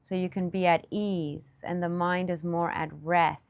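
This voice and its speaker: A woman leading a guided meditation in a soothing, gentle manner, speaking in short unscripted phrases with long pauses. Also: on the low side, little pitch movement, smooth, dry recording. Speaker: meditation teacher.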